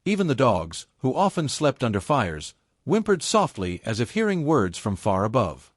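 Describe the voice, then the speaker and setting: A man's voice: mid-range voice, wildly swooping pitch, no echo. Solemn male storyteller, dry close sound. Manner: solemn, portentous, measured short phrases. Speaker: storyteller, narrator. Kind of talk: scripted folktale narration.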